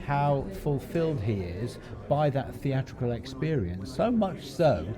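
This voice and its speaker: A man's voice: mid-range voice, sing-song, unhurried. A British man hosting a podcast, presenting and interviewing guests in a conversational way. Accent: British English. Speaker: podcast host. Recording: busy crowd chatter behind. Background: chatter of people at a venue.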